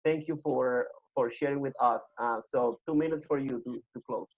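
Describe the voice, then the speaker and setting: A man hosting a webinar on a video call, giving informal closing announcements in a halting way and repeating himself. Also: mid-range voice. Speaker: webinar host. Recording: muffled video call audio.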